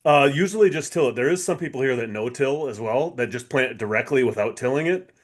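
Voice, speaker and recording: Plain-spoken man with a mid-pitched voice. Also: animated, fairly quick. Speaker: podcast co-host. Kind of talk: casual chat.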